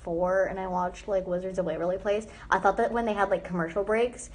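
A teenage girl chatting casually on a live stream from her phone, answering viewer comments with lots of "like" and trailing off into quiet asides. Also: mid-range voice, fairly even intonation, a little fast. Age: teens. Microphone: phone front camera held close.

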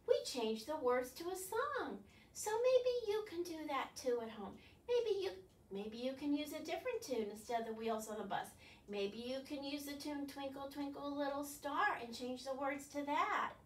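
An older woman leading a children's storytime as a librarian, animated and instructing, talking directly to young viewers with questions. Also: high voice, moderate pace. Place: small living room, indoors. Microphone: far camera. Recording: roomy, distant camera microphone.

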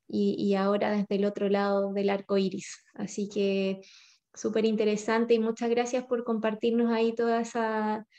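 A woman in her thirties hosting an interview over a video call, speaking slowly with halting, repeated words. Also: mid-range voice, dark tone. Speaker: interviewer host. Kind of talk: interview conversation. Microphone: video call webcam. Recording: slightly dull video call audio.

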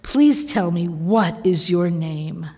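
A woman reading aloud plays a proud, hot-tempered young warrior man of the desert. He bursts out in startled questions and sharp commands, his voice trailing into a long hall echo. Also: fairly low pitch, lively intonation, takes it slowly, slightly rough, full character voice. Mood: softened.

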